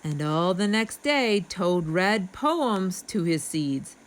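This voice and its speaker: A woman storyteller reading a children's book aloud in a deliberate, expressive narrating style. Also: on the low side.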